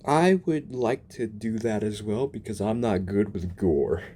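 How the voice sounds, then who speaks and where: A game streamer giving casual, expressive, exclamatory reactions to a story. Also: medium pitch, measured pace, a little hiss or hum. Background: game music.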